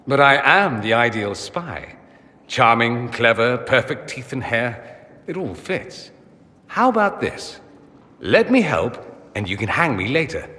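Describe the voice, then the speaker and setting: An actor voicing a suave, vain and witty gentleman mage in a video game, with a flippant, theatrical manner. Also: mid-range voice. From Tevinter, a fantasy empire. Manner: flippant, theatrical, self-admiring. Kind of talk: dramatic video game acting. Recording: long hall reverb.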